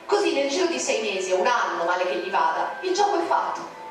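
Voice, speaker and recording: A woman's voice: on the high side, sing-song, brisk. A woman narrator reciting a poem in a declamatory, indignant manner. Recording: very echoey, distant, music behind.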